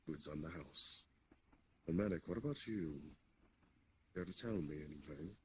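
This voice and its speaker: A man improvising in roleplay as a commanding, hospitable demon king, speaking in a deliberate, formal way. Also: sits low, expressive. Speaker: roleplayer leading an improvised scene. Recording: noticeable background noise on mic.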